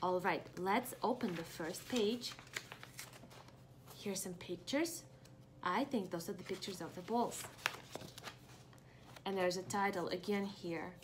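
A young woman teaching preschoolers through a picture-book read-aloud, asking questions expressively and pausing for answers. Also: mid-range voice, noisy background. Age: young adult. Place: small home room indoors.

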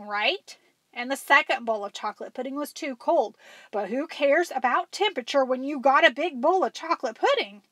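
An American woman in her forties reading a children's story aloud as a school librarian, animated and emphatic. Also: fairly high pitch, no echo. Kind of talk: expressive storybook read-aloud.

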